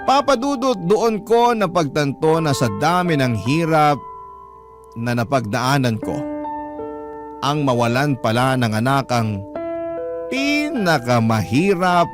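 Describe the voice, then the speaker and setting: A man's voice: a little high, very animated, takes it slowly, long pauses. A man with a Filipino accent giving a sorrowful, emotive dramatic narration of a letter. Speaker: narrator reading a listener's letter. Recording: loud music bed behind narration.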